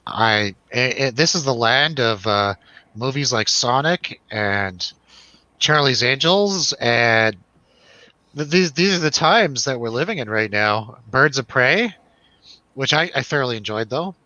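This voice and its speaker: A man with a bright, forceful voice hosts a casual movie review. He talks in a joking, irreverent way at a middle pitch. The sound is close and dry, slightly lo-fi. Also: expressive, measured pace, no room sound. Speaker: host of a movie review show.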